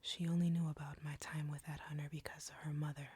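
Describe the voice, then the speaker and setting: Woman playing a breathy, whispering vampire captor. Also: low-pitched, flat delivery, dark tone. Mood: cold, bitter. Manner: slow, deliberate, taunting. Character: arrogant, flirty, menacing female vampire captor. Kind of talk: intimate whispered audio roleplay.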